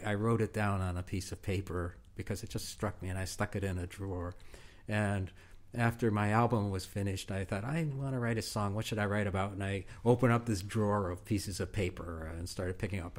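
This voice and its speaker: A man in his sixties, a folk singer-songwriter, talking softly and hesitantly with many fillers. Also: fairly low pitch, fairly even intonation, fairly quick. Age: 60s. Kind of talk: relaxed chat, then singing with guitar. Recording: guitar music behind.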